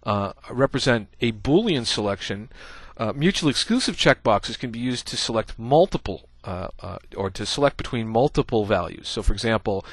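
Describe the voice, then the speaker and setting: A man's voice: mid-range voice, lively intonation, moderate pace, few pauses, slightly rough, no room sound. A man giving a casual screencast coding tutorial, thinking aloud as he types, with many "uh" fillers. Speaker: programming instructor.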